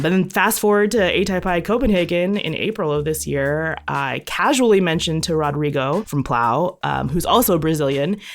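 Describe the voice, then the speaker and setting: A woman guest-hosting a podcast interview, upbeat and conversational. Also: medium pitch. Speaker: guest host.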